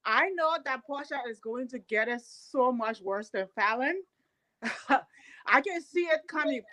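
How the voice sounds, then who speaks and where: A woman from Liberia calls in over a video call with a high, bright voice. She pushes her opinions emphatically and often laughs between points. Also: animated, unhurried, poor audio. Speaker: caller. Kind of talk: casual opinionated chat.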